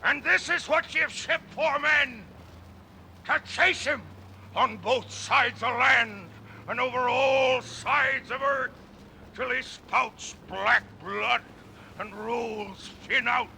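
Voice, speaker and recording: An actor playing an obsessed, vengeful old whaling captain in a classic radio drama, speaking in grandiose, archaic oratory. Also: male voice, very high voice, slow pace, vocal fry, bright, forceful tone, heavily acted, poor audio. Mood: rousing, fierce. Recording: faint noise, old radio play recording.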